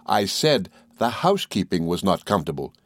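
A man voicing an earnest, loving young husband in an audiobook, reasoning gently and formally. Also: low-pitched, big pitch swings, brisk, no echo, clean studio sound, full character voice. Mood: grave, reasoning. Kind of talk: audiobook character dialogue.

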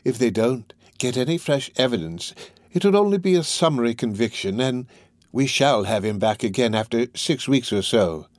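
A man's voice: mid-range voice, animated, moderate pace, no echo, studio quality, lightly acted. Man acting a wily old criminal master, swooping voice. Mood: gloomy. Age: older. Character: wily old male criminal gang master, wheedling, quick-tempered.

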